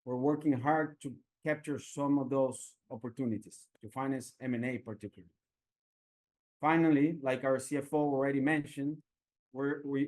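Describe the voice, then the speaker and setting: A male company executive giving formal, measured remarks on an earnings call. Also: mid-range voice, a little mellow.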